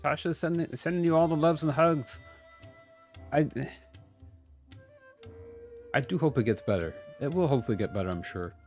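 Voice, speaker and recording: A man's voice: fairly high pitch, moderate pace, long pauses, darkish tone. A man doing casual live commentary as a game streamer, chatty and playful. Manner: playful, chatty, mild joke swearing. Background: game music.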